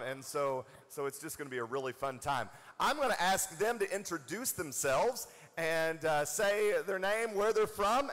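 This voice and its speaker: A pastor welcoming the congregation and making friendly, relaxed announcements in a large church sanctuary, talking into a handheld mic. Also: male voice, fairly high pitch. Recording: long hall reverb.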